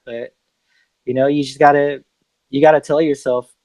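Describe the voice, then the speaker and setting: Man, Bay Area, music producer guest, casual, halting, many fillers, dry sound. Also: fairly high pitch, little pitch movement, a little mellow, dry recording, low-quality recording. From San Francisco Bay Area. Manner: laid-back, hesitant, many fillers and restarts. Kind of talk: casual podcast chat.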